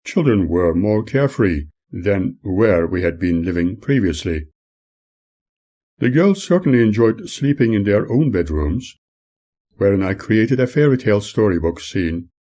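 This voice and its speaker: An older man reading his own memoir aloud in a measured, earnest way. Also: lowish voice, animated, dark tone.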